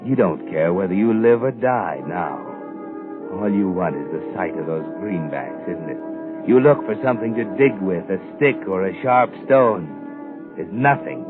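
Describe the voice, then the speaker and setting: Low, ominous radio suspense narrator. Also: male voice, animated, measured pace. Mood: grim, taunting. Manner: fatalistic, insinuating, taunting. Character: ominous, knowing male narrator taunting the doomed. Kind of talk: 1940s radio suspense narration in second person. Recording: muffled vintage 1940s radio, music behind.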